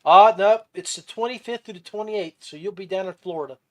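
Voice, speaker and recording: A man hosting a casual interview podcast, speaking haltingly and often restarting his phrases. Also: high voice. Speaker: podcast host.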